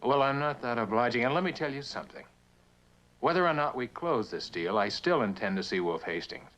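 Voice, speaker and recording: An actor playing a proud, bitter prospector, blunt and insistent, in a mid-century television drama. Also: male voice, medium pitch, animated, a little fast, fairly bright tone, close to an everyday voice, low-quality recording. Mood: defiant. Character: proud, bitter mineral prospector, a man hard bargainer.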